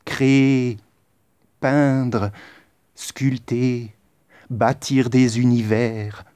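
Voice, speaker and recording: A man reciting poetry in a solemn, deliberate style, with measured phrasing and dramatic pauses. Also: mid-range voice, level tone, warm tone, clean tone, dry recording. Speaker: poet reciting.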